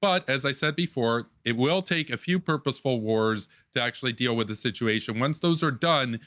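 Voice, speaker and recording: Forceful, insistent male debater. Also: mid-range voice, moderate pace. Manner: emphatic and insistent, repeats phrases for force. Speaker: debater and political streamer. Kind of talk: closing statement in an online debate.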